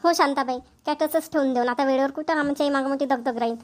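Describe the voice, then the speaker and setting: Woman acting a high-pitched, rapid-talking village woman, Vidarbha Marathi. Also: steady tone, close to an everyday voice. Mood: chatty, practical. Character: chatty, practical village women neighbours planning an engagement. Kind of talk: amateur cartoon dialogue.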